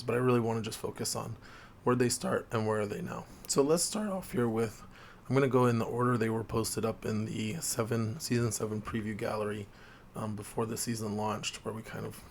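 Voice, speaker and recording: A man with a sore throat and a slightly hoarse voice, hosting a tabletop game video and explaining things quietly to viewers with lots of ums. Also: slight background noise. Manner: quiet, low-key delivery with frequent fillers. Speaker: host of a tabletop game channel. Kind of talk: casual explainer talk to viewers. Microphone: desk mic with gain turned up.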